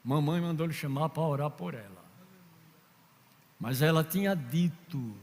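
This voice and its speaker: A man's voice: fairly high pitch, normal speed. Preaching man in a reverberant hall. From Northeast Brazil. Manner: storytelling, acts out quoted voices, builds to shouting. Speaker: pastor. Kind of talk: preaching sermon with anecdotes. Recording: long reverb of a large hall.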